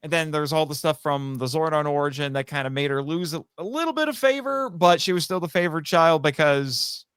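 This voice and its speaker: A man's voice: on the high side, brisk, hardly any pauses, fairly bright tone, clean tone, no echo, studio quality. A man talking casually as a podcast guest, explaining his writing like a storyteller. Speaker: podcast guest, writer and voice actor. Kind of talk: casual interview chat.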